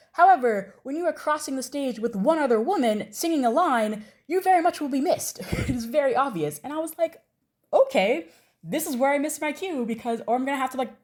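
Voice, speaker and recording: A woman's voice: high-pitched, darkish tone. A young adult American woman telling a personal story in a casual, chatty way. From United States. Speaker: storyteller, video creator.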